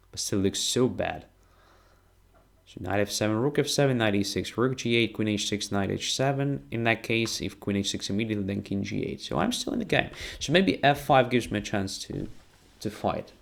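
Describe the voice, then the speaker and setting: A man with a Ukrainian accent commentating live on chess, thinking aloud in short, halting phrases. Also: on the low side, expressive, gravelly. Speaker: chess streamer and international master. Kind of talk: live game commentary and analysis.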